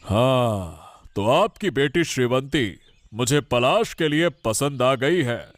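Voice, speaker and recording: A man's voice: medium pitch, lively intonation, slow. A man voicing a middle-aged village father and household head in a cartoon story, firm, reasoning and emphatic. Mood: assured. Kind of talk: Hindi cartoon moral story dialogue.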